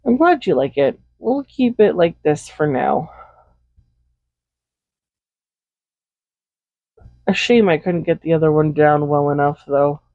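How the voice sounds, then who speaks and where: A man playing a smug, possessive villain who dotes on a captive hero in an intimate audio roleplay, soft-spoken, unhurried and condescending. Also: a little high, vocal fry, no room sound, narrow, tinny audio. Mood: doting. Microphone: close USB condenser microphone.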